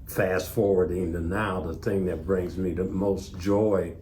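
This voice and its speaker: An older man reminiscing as an interview guest in a measured, modest, hesitant way. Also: low-pitched, fairly even intonation, dark tone. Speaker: interview guest, tour manager. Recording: faint background noise.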